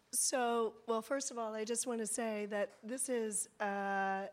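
A woman winemaker speaking on a panel while her voice is still recovering, soft and slightly rough. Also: mid-range voice. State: recovering from a lost voice. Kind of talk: panel talk.

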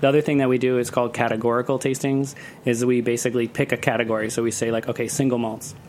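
A man's voice: mid-range voice, flat delivery, fast, a little mellow. Man talking evenly over background noise. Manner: chatty, uses "like" often. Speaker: guest, cocktail festival organizer. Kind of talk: casual interview talk, explaining his work. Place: radio studio.

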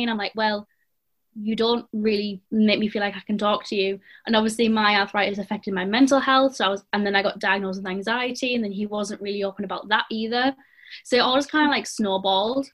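A woman's voice: medium pitch, fairly bright tone. A woman, chatting casually as a panel guest and filling her speech with "like" and "you know". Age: 20s. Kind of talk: casual chat.

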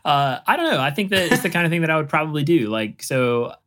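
A man's voice: on the high side, animated, quick pace, a bit bright. A man with an American accent hosting a podcast in casual conversation. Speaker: podcast host. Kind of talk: casual chat.

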